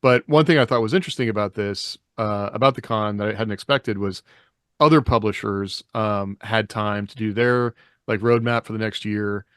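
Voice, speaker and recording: A man's voice: lowish voice, normal speed, a bit bright, clean tone, dry recording, clean studio sound. A man co-hosting a podcast in casual, conversational discussion. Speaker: podcast co-host.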